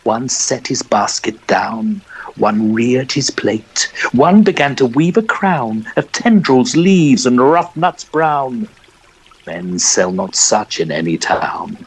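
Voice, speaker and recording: A man narrating a dramatic poem in a theatrical, measured and expressive way. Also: mid-range voice, slightly rough, poor audio.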